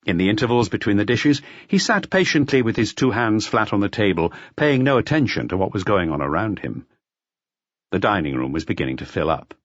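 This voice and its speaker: Low, husky male audiobook narrator, expressive. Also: a little fast, no echo, dull audio. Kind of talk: scripted narration of a detective novel.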